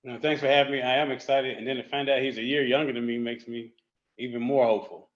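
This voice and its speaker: Relaxed man on a dull, echoey line. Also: medium pitch, fast. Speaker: guest. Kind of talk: casual conversation.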